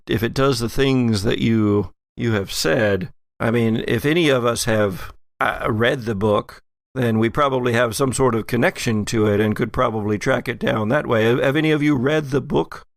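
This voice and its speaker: A man voicing a hesitant, musing tattooed occultist in a tabletop audio drama, halting as he thinks aloud. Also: medium pitch, vocal fry, dry recording, lightly acted. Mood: musing, unsure.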